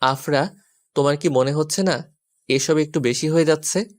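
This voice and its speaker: A man's voice: on the high side, normal speed, close to an everyday voice. A man playing a flustered, exasperated young husband who pleads and gives in, in a romantic audio story. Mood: bewildered.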